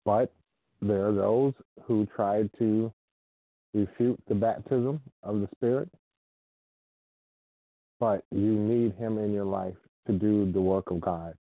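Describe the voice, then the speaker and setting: A man's voice: fairly low pitch, fairly even intonation, no room sound. A man from Ohio giving an informal, earnest sermon with scripture reading. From Ohio, United States. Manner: earnest, unhurried, repeats himself. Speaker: preacher. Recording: dry tinny phone-like sound.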